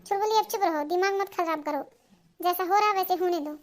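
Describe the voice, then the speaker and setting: Woman voicing a high-voiced bossy elder sister. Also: fairly quick. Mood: stern. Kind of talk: Hindi comedy cartoon dubbing.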